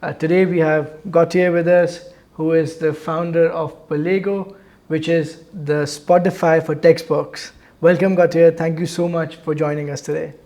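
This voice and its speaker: A man's voice: a little high, level tone, moderate pace, a little mellow. A man hosting a founder interview podcast, asking questions in an upbeat, casual way. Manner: upbeat, friendly, casual phrasing. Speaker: podcast host.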